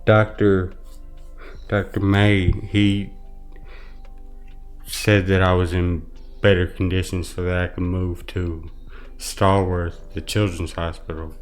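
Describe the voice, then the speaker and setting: A man giving earnest personal testimony as an interview guest, speaking haltingly with some repeated words. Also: deep voice, level tone, faint music behind. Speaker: interview guest, brain injury survivor.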